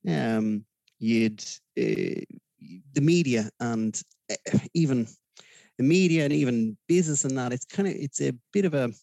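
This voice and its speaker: Gravelly, mellow Dublin Irish male guest, rambling and hesitant, dry close sound. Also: medium pitch, measured pace, no echo, rough recording. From Ireland. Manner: rambling, hesitant, many fillers, laughs easily. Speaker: podcast guest. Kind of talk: casual interview chat.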